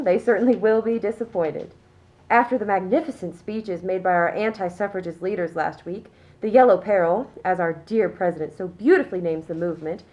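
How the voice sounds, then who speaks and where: A woman's voice: mid-range voice, darkish tone, natural voice. Radio play society matron played by a woman: middle-aged, genteel, smug, primly condescending, mid-range voice. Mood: haughty. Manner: prim, condescending, self-satisfied. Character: smug, genteel upper-class anti-suffragist mother, middle-aged. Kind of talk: scripted radio play acting.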